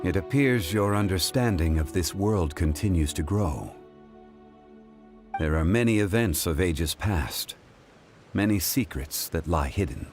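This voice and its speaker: Calm old god for a game, really low voice, formal and weary, music behind. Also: male voice. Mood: grave, reflective. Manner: measured, formal, grave delivery. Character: calm, ancient male god, solemn and weary. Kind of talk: video game voice acting.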